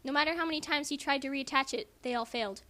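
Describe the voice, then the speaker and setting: A woman's voice: high-pitched, quick pace, pushed and bright. A woman giving a theatrically emphatic amateur reading, breaking off for squeamish, casual asides. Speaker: co-reader and commentator. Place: at home in a house.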